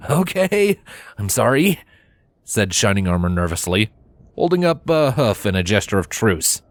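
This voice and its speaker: A woman narrates a piece of fan fiction in scripted storybook style. Her voice is extremely deep and moves with animated intonation. The sound is dry and close, free of room echo. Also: moderate pace.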